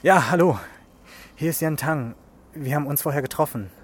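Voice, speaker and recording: A man's voice: on the high side, normal speed, husky. An actor playing a tense, exasperated policeman and protective son in a radio crime drama. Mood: uneasy.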